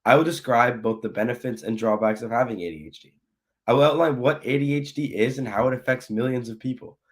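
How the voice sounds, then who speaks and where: A young man with a croaky voice from losing it, giving a personal talk as a guest speaker in a presentation. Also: mid-range voice, fast talker. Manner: earnest, apologetic, acts out his own thoughts. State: hoarse, losing his voice.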